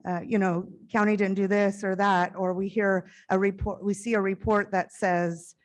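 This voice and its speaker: A middle-aged woman speaks at a stage microphone to a conference. Her voice is naturally loud and carries well, and she sits at an ordinary pitch for a woman. She talks in an earnest, heartfelt way that sometimes rushes forward in quick bursts. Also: flat delivery. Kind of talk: conference keynote address.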